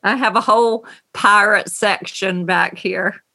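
A middle-aged woman from North Carolina with a Southern accent, speaking thoughtfully as an interview guest over a video call from her writing room, pausing and restarting often. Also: mid-range voice, steady tone, creaky, bright, forceful tone. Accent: Southern American. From North Carolina, USA. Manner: slow, thoughtful, with many pauses and restarts. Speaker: novelist guest.

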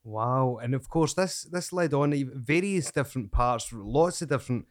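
Scottish man, podcast host, halting repeated words, dry close sound. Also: mid-range voice, expressive, no echo. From Scotland. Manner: repeats words while forming questions.

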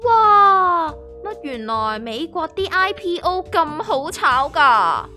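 A woman acting an excitable, naive young stock dabbler in a comic radio drama, with a Hong Kong Cantonese accent and a playful, exaggerated delivery. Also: very high-pitched, slow pace, music in the background, exaggerated voice. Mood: excited.

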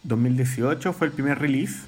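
A Chilean man, a musician guest, talking in a relaxed, rambling way with many fillers. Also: mid-range voice, fairly quick. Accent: Chilean Spanish. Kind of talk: casual interview chat.

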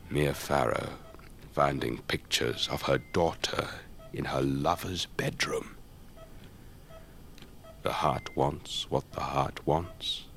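An actor playing an elderly English retired air force officer, urbane and measured, telling tales in a radio drama. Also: male voice, rumbling low, gravelly, exaggerated voice. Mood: grave, reflective. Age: older. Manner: measured, old-fashioned gentlemanly, theatrical raconteur.

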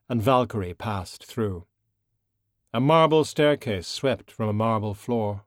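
A man reads a novel as narrator. His delivery is measured and descriptive, in a scripted storytelling style. The recording is dry studio sound, free of room echo. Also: medium pitch. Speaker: audiobook narrator.

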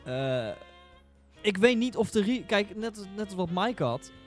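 A man in a casual podcast discussion who starts haltingly and repeats his words. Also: high-pitched, very animated, faint music behind. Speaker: podcast panelist.

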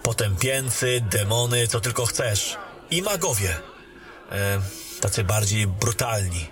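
A man voicing a weary warrior back from a mission in a fantasy audio drama, speaking in a calm, matter-of-fact way. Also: on the low side, unhurried, music in the background, close to an everyday voice. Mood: friendly.

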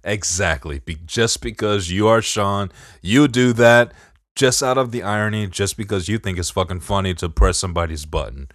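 A man with a Detroit accent and a very low voice, talking in loose, profane podcast banter. He keeps it laid-back and easy, leaning on "bro". The sound is dry and close. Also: normal speed, fairly bright tone, no room sound. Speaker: podcast co-host. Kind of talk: casual chat.

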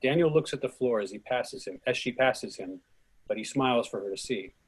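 A man's voice: medium pitch, animated, fairly quick, husky, low-quality recording. A man reading the stage directions of a screenplay as scripted narration.

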